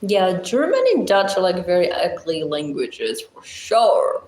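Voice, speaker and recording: Moroccan-accented woman, livestream host, deep-voiced, blunt and chatty, unhurried, roomy echo. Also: big pitch swings, darkish tone. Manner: chatty, blunt, laughs often, thinks aloud. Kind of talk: casual livestream chat reading comments.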